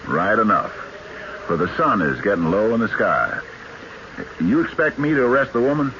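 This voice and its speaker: A low, bright-edged male voice as a shrewd backcountry lawman. He is folksy and probing, with lively swings of pitch, in an old radio mystery. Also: normal speed, exaggerated voice. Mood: dry, musing. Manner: folksy, plain-spoken, probing. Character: shrewd, unhurried backcountry lawman, skeptical and methodical. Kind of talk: radio mystery drama acting. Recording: dull, noisy vintage radio broadcast.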